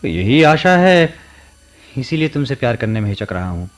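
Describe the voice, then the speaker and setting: A man's voice: mid-range voice, sing-song, normal speed, a little hiss or hum, close to an everyday voice. A man playing an earnest, kindly, lovelorn man in a radio play, with dramatic delivery. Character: earnest, kindly, lovelorn man torn between two women. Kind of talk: Hindi radio play acting.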